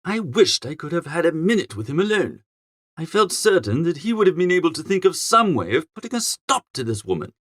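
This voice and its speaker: A man playing a flustered, foppish young English gentleman who narrates a comic audiobook in a chatty, melodramatic, exasperated way. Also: a little high, very animated, a little fast, no room sound, clean studio sound. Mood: appalled.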